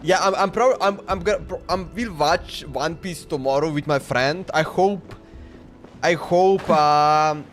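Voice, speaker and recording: High-pitched man commentating casually on gameplay. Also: measured pace. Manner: thinking aloud in short slangy bursts. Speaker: game streamer. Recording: game music playing behind.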